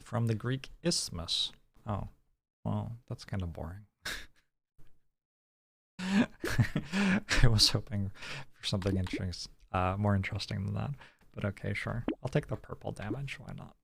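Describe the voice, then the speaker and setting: Low, very creaky man's voice, casual streamer. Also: fairly quick. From New Zealand. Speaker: video game streamer. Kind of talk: casual live gameplay commentary, chatting with viewers.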